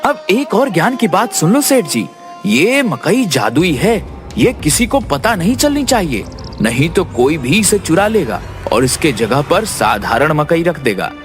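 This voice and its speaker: A man's voice: on the high side, sing-song, measured pace, music in the background. A man playing a cunning, boastful young farmer in a Hindi moral story, talking in a glib, persuasive way. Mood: sly, persuasive.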